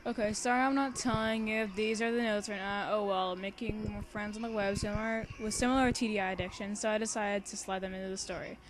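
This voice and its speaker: A young woman with an informal, giggly, excitable manner, reading a story aloud casually and breaking off into bantering asides. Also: medium pitch, fast talker, few pauses. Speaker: amateur fan fiction reader and co-host.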